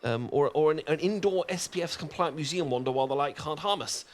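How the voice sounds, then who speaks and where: A man's voice: a little high, a little fast, voice pushed up, natural voice. Guy doing a sunburnt british dork in an asmr roleplay, stammers a lot, keeps putting himself down, nervous little laughs. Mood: flustered. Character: awkward sunburned young British man, sweet and flustered. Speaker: ASMR performer.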